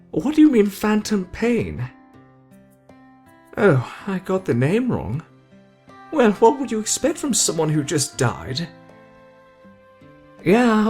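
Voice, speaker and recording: A man acts out a brash young British show-off in an anime-style ASMR roleplay. He is playful and boastful, with a lightly raised pitch and very animated, theatrical swings. There is some music behind him. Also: heavily acted. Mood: groggy, confused. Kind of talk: ASMR roleplay addressed to the listener.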